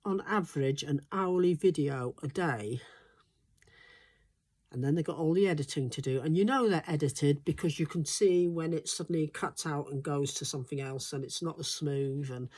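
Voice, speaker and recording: A woman's voice: low-pitched, moderate pace, a little mellow. A woman vlogging from her smallholding, congested and recovering from flu, chatting in a rambling way with frequent ums and restarts. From Wales. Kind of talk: casual chatty monologue.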